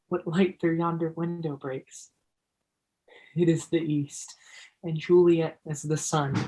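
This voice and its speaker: A man's voice: on the high side, darkish tone, rough recording. A man playing a reluctant, dreamy teenage boy in a stage play, earnest and grumbling.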